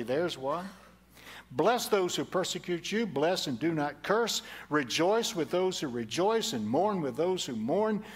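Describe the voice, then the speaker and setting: An older man preaching a sermon from a church stage, earnest and repeating key phrases for emphasis, on a headset mic. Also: a little high. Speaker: pastor. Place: large church sanctuary stage at a lectern.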